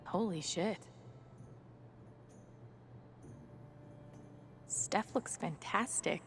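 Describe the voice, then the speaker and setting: Wry young woman with creaky voice. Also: fairly low pitch, a little slow, slight background noise. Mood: admiring. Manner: wry, conversational. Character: young woman adventurer playing a bard, wry and curious. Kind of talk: video game acting, inner monologue.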